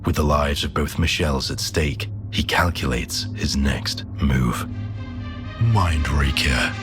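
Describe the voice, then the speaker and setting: Very deep, ominous thriller announcer. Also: male voice. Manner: slow, ominous, portentous. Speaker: series announcer. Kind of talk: dramatic scripted recap and title narration. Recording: music behind voice. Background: music.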